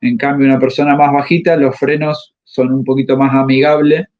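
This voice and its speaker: Halting coach, muffled. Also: male voice, medium pitch, level tone. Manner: halting, pauses often to find words. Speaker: tennis coach. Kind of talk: interview answers. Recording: slightly muffled audio.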